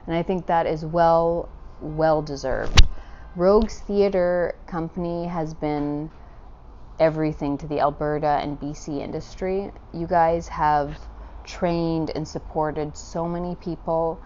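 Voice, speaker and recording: A woman's voice: low-pitched, steady tone, takes it slowly, warm tone, muffled recording, a little hiss or hum. A woman interviewing a guest for a magazine, effusive and full of compliments.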